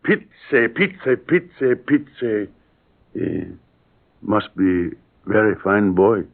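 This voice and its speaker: Halting Puerto Rican immigrant father, old radio drama. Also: on the low side, sing-song, creaky, heavily acted. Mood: proud. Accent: Spanish Puerto Rican. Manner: halting broken English with Spanish interjections. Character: friendly, humble Puerto Rican immigrant father, eager and proud. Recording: tinny, band-limited old radio broadcast.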